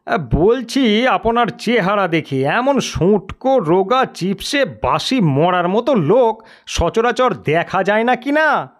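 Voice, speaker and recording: A man voicing a pompous, suspicious and superstitious village police officer in an audio story, gruff and blustering in manner. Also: high-pitched, very animated, slow, pitched above the performer's own voice, full character voice. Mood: accusing. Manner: gruff, grave-toned, blustering. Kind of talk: dramatised audio storybook character.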